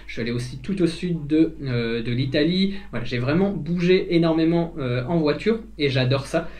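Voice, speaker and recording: A man's voice: fairly high pitch, a little mellow. A man from northern France, a vlogger chatting casually as he answers viewer questions, with many hesitations and fillers. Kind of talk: casual chat.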